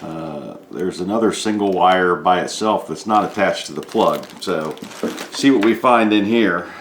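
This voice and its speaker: A man's voice: deep voice, unhurried, slight background noise. A man giving an unscripted, methodical step-by-step narration of a hands-on repair demonstration. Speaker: DIY repair presenter. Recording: echoey room.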